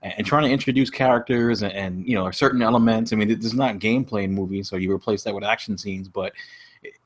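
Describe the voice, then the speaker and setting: A man's voice: lowish voice, steady tone, fast. A man on a podcast panel, talking casually and reasoning his opinion out as he goes.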